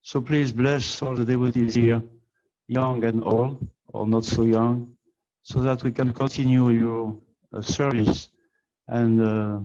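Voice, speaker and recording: A man's voice: medium pitch, fairly even intonation, takes it slowly, dark tone, dull audio. A man hosting an online meeting, talking informally with loose phrasing and fillers. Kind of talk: casual remarks in an online meeting. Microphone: video call.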